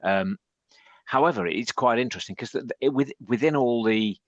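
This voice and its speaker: A man from northern England with a deep husky voice, hoarse from shouting, talking as a guest on a podcast with many restarts. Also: normal speed, no room sound, dull audio. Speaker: podcast guest, agency head. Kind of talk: casual panel chat.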